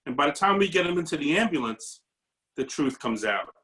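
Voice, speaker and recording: A man's voice: fairly high pitch, lively intonation, fairly quick, pushed and bright, poor audio. Earnest man sharing thoughts on a video call. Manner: earnest, searching, with restarts. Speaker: paramedic audience member. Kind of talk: reflective discussion comment.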